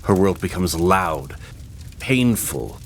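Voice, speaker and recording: A man narrating a fantasy audio drama, reading with expressive, dramatic pacing. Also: deep voice, takes it slowly, a little hiss or hum. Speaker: storyteller.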